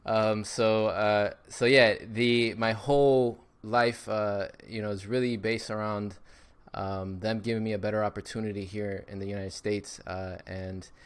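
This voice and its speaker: Upbeat podcast guest, a man in his thirties, lowish smooth voice, talks loosely with fillers. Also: steady tone, measured pace. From Miami, Florida. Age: 30s. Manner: upbeat, with frequent fillers and repeats. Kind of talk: casual interview chat.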